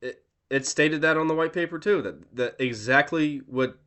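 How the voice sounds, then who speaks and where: A man's voice: a little high, moderate pace, a bit bright. A man with a congested, stuffy voice, hosting his own channel and giving casual, rambling market commentary with lots of fillers and a self-deprecating tone. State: congested from a cold. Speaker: crypto channel host.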